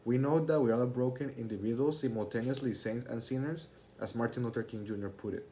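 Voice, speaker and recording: A man's voice: mid-range voice, fast, mellow, a little hiss or hum. A young man, a student, delivering a prepared class speech in a formal, expository manner, as if reading from his text. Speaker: student speaker.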